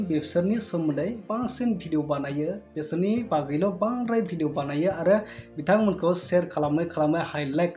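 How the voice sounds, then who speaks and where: A young man talking slowly and earnestly to camera in a small plain room, recorded close on a phone for his vlog. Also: a little high, darkish tone. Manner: slow, earnest storytelling to viewers. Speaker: vlogger. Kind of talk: casual talking-head vlog.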